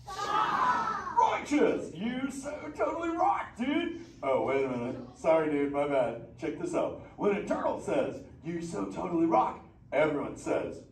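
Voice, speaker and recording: Goofy surfer sea turtle, very animated, drawling slang, live show banter, distant noisy echoey theater recording. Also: male voice, high-pitched, measured pace, exaggerated voice. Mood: goofy, upbeat. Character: laid-back surfer dude sea turtle, exuberant adult male. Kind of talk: live improvised theme park show banter.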